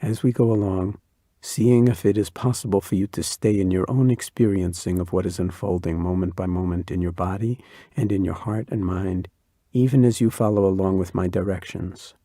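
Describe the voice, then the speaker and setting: A man leading a guided body scan meditation, speaking slowly in a soft, soothing way. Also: sits low, steady tone, dry recording, studio quality. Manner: slow, soft-spoken and soothing.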